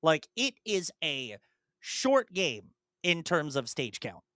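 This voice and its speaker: A man's voice: a little high, big pitch swings, slow pace, sharp tone, dry recording, clean studio sound. A young man and podcast co-host chatting casually about video games, rambling through detailed explanations with many ums and restarts.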